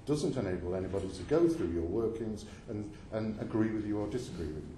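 A man's voice: on the low side, animated, brisk, dark tone. A man questioning a witness at a parliamentary committee hearing, pressing and insistent, stumbling and restarting his sentences. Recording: roomy hall sound with faint noise.

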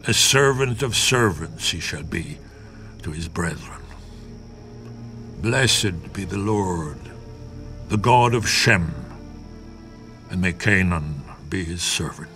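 An actor playing a stern old patriarch, solemn and declamatory, in a dramatized scripture production. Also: male voice, low voice, lively intonation, takes it slowly, pauses often, sharp tone, faint music behind, heavily acted. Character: Noah, stern old patriarch pronouncing curse and blessing.

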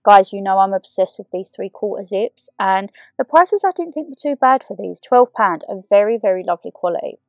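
A young woman with a slightly croaky voice, chatting in a rambling, giggly way as a shopping vlogger over a voiceover. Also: mid-range voice, animated, a little fast, hardly any pauses, a little mellow, no echo, soft background music. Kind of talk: chatty vlog talk and voiceover.

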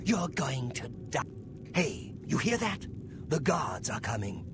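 An actor playing a spiteful male dark elf prisoner in a fantasy game, taunting with a drawn-out sneer. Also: mid-range voice, big pitch swings, noisy background. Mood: gloating menace.

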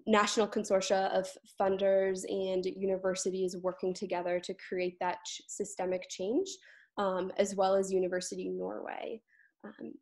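A woman's voice: medium pitch, a little mellow. A young woman speaking as a panelist, explaining things in a discussion over a video call. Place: indoor room on a video call.

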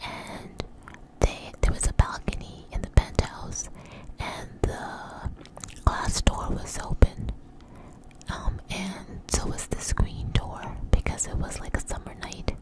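A woman telling a story in a soft, breathy ASMR whisper, close to the mic, in a conversational, hesitant way. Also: takes it slowly, poor audio.

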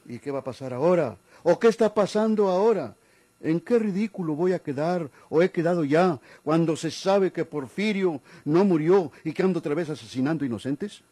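Mellow-voiced, proud aging policeman. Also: fairly high pitch, animated, moderate pace. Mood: aggrieved, insistent. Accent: Mexican. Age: older. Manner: deferential yet insistent, formal. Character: proud aging retired policeman, stubborn and aggrieved. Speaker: actor. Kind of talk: Mexican golden-age radio drama acting.

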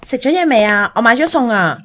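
Woman acting a high, bright, pleading girlfriend. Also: animated, brisk. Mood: eager, pleading. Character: devoted, neglected young girlfriend, pleading and hurt. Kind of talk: audio drama acting.

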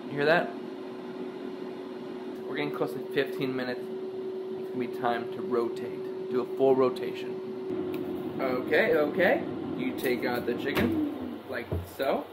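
A man's voice: medium pitch, animated, a little slow, long pauses, heavy background noise. A young man hosting a joking cooking tutorial in his home kitchen, talking offhand to the camera while he works. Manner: playful, offhand, talks to camera while working. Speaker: home cook host. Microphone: camera mic, wide-angle camera on counter.

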